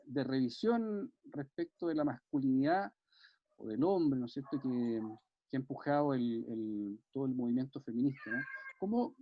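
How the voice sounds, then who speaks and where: A man's voice: a little high, no echo. A man moderating an online book talk, asking long questions with many hesitations and tag questions. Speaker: moderator reading audience questions. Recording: dry muffled video call audio.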